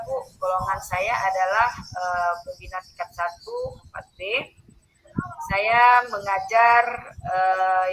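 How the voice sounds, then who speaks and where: A woman in her fifties, a school principal, speaking politely and haltingly in an informal introduction on a video call. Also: mid-range voice, fairly bright tone, poor audio. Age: 50s. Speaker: school principal and training mentor.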